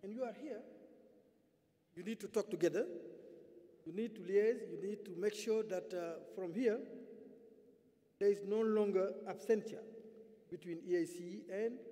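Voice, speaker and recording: A man from Senegal moderating a conference panel from a podium in a large hall, emphatic and halting, with many fillers. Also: sits high, warm tone. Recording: hall reverb.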